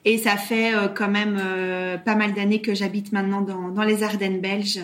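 A woman in her thirties from Brussels with a Belgian French accent, talking as an interview guest, often pausing on "euh". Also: mid-range voice, little pitch movement. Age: 30s. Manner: hesitant, many "euh" fillers. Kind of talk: casual interview talk.

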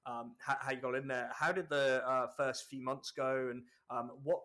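A man's voice: medium pitch, steady tone, normal speed, sharp tone. Hesitant man with a London accent. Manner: hesitant, many restarts and "um"s. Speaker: podcast host. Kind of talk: casual interview chat.

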